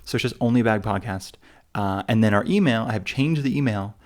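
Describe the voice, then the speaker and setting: A man's voice: on the low side, quick pace, darkish tone. A man co-hosting a travel podcast in casual chat, rambling and joking at his own expense. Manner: rambling, self-mocking, full of fillers and restarts. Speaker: podcast co-host.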